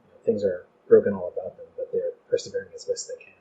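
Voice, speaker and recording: A man conducts a relaxed podcast interview in a lowish, very mellow voice. He words his questions tentatively, rephrasing along the way. The sound is somewhat muffled, with audible background noise. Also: fast. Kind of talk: conversational interview. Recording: dull, noisy recording with room ambience.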